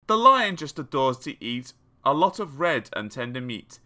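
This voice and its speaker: A man narrating children's comic poems in a theatrical, expressive storytelling style. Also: mid-range voice, normal speed, over loud music. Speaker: audiobook narrator. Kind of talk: dramatic children's poetry reading.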